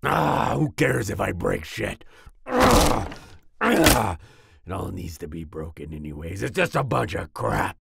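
A man playing a volatile but soft-hearted werewolf boyfriend in an ASMR roleplay, growling and raspy, with sighs and groans. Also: lowish voice, sing-song, full character voice. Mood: raging, self-loathing. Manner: snarling outbursts, sighs and groans, slow brooding delivery. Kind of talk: ASMR roleplay audio drama.

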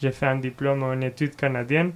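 A man's voice: mid-range voice, little pitch movement, brisk. A man in his twenties introducing himself as a guest on a radio show. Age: 20s. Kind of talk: brief self-introduction.

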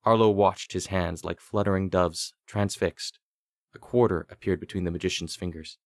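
Low-voiced man narrating fantasy. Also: steady tone, moderate pace, no echo, clean studio sound. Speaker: audiobook narrator. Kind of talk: scripted fantasy story narration.

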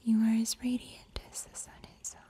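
A young woman playing a gentle mystical healer in an ASMR fantasy roleplay, whispering slowly, breathily and tenderly. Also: a little high, monotone, slightly rough. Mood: tender, comforting. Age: young adult. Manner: slow, soothing, tender. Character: gentle mystical female healer comforting a weary wanderer. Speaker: ASMR performer.

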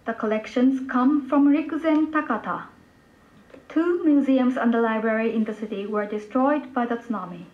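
High-voiced woman reporter, muffled playback. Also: moderate pace. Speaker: news reporter. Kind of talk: scripted documentary report narration. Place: broadcast replayed over speakers in a lecture room. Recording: muffled, noisy playback in a room.

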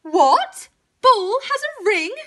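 Whiny, bawling storybook cow, wildly swooping. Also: female voice, very high voice, huge pitch swings, bright, forceful tone, pitched above the performer's own voice, heavily acted. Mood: eager, indignant. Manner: bawling, mooing, drawn-out wails. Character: whiny, dramatic, envious female cow. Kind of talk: children's storybook character voice.

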